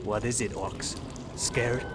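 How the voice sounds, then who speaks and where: A man's voice: fairly low pitch, steady tone, slow, heavy background noise. A man acting a bold, impatient assassin in a video game, talking in a blunt, sardonic way. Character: gruff male assassin, bold, impatient for action. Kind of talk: dramatic video game dialogue.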